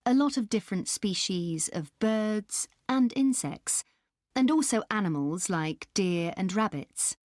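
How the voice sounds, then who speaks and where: A woman playing a helpful country park staff member in a scripted dialogue, explaining things deliberately. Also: medium pitch, lively intonation, darkish tone, dry recording, studio quality, lightly acted. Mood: helpful, informative. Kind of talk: scripted listening-test dialogue.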